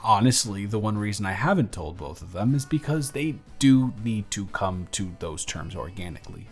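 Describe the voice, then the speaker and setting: A man's voice: lowish voice, expressive, moderate pace, soft background music. A young man playing a friendly, smitten male barista in an intimate romantic audio roleplay, earnest, conversational and slightly flustered. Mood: teasing, candid. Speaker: voice actor.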